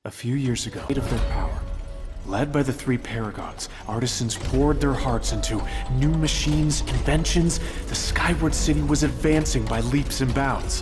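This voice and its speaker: A man playing an earnest, thoughtful sect disciple who recounts old tales in a measured, dramatic way for a video game. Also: medium pitch. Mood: earnest narrating. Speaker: non-player character giving backstory. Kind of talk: expressive storytelling in video game dialogue.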